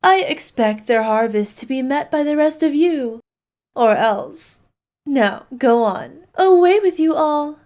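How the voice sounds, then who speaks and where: A woman's voice: high-pitched, expressive, slow, darkish tone. A woman playing an evil, imperious queen in a scripted roleplay, speaking in a haughty, formal, commanding manner. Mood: menacing, commanding. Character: evil imperious queen, cruel to subjects, doting on her knight. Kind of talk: scripted ASMR roleplay acting.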